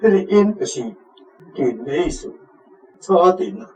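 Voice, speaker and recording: A Taiwanese man with a fairly high voice gives an informal language lesson. He explains in a halting way. The recording has noticeable background noise. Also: expressive, dark tone, low-quality recording. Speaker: teacher giving on-site guidance. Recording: clear background noise, room ambience.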